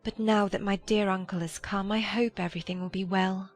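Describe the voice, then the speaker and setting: A woman playing a gentle, hopeful young Regency sister in a dramatized audiobook, soft-spoken, composed and formal. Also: mid-range voice, fairly even intonation, fairly quick, soft background music, natural voice.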